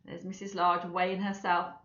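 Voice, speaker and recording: Woman reading kids storybook, expressive, chatty little asides, echoey room, slightly dull audio. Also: fairly low pitch, moderate pace, noisy background. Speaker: storybook reader for schoolchildren. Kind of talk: children's story read-aloud.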